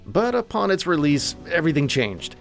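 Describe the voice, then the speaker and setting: Lively, higher-pitched male countdown narrator. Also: moderate pace, vocal fry, soft background music. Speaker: narrator of a film countdown video. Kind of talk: scripted narration.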